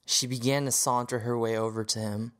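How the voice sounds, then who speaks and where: A man's voice: mid-range voice, fairly even intonation, brisk, a bit bright. A man reading scripted story narration as the narrator of an audio drama.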